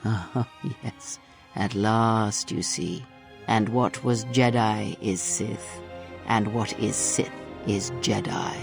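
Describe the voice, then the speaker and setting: An older woman playing a weary, cryptic and manipulative mentor in a video game, speaking in slow, solemn measured phrases. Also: a bass voice, gravelly, music in the background, exaggerated voice. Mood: cold, knowing. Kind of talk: video game dramatic acting.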